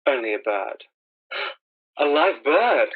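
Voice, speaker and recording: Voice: mid-range voice, very animated, takes it slowly, breathy edge, exaggerated voice. An actor plays a lost wanderer who has survived disaster in a radio drama. The delivery is hesitant, questioning and despairing. It sounds narrow and tinny, as if over a telephone. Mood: hesitant, weary. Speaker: amateur actor in a radio play. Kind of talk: dramatised radio play dialogue.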